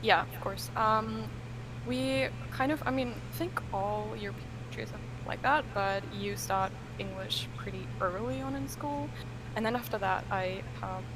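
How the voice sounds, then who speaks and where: German woman podcast guest, chatty n self-deprecating, normal pitch, noisy recording. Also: a little slow. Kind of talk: casual chat. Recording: clearly noisy background.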